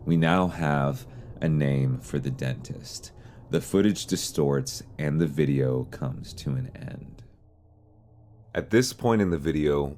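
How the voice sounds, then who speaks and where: A man's voice: a bass voice, a little mellow. A man hosting an explainer series in a semi-scripted narration style. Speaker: host of an analog horror explainer series.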